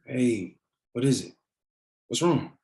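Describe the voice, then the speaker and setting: A man's voice: mid-range voice, expressive, slow, mellow. A man reading a teasing, devoted boyfriend in a naturalistic drama table read, conversational and a little hesitant. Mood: reassuring. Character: teasing, devoted boyfriend, nervous about his grandmother. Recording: virtual meeting recording.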